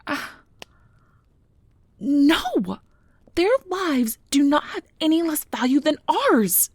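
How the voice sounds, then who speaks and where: A woman playing a nervous, earnest, principled field researcher of magical creatures in an ASMR audio drama, soft and hesitant with stammers, close to the mic. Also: high voice, very animated, slow pace, no echo, full character voice. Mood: upset, impassioned. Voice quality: breathy, soft. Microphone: close mic, dry recording.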